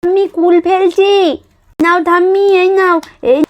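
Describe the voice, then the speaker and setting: A high-pitched, lively village girl put on by a woman for a doll-story cartoon. She is the helpful big sister, urgent and quick to repeat herself. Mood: cheerful.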